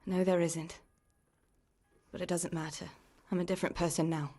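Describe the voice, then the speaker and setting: A woman acting a caring, world-weary young miller's niece in a medieval video game drama, plain-spoken and gently chiding. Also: on the low side, little pitch movement, moderate pace, lightly acted. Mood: grieving, resigned.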